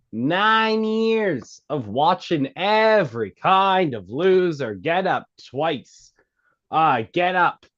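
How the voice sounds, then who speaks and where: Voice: mid-range voice, a bit bright, dull audio, full character voice. An actor at a script table read playing a blunt, insistent comedy club worker, emphatic and stumbling over lines.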